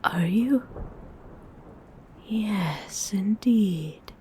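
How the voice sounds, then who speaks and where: Haughty female vampire voiced by a woman, whispered, very slow and drawn out, low and mellow. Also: lively intonation, slight background noise. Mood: indulgent. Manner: haughty, formal phrasing. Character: predatory female vampire, aloof and possessive. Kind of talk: ASMR role-play audio.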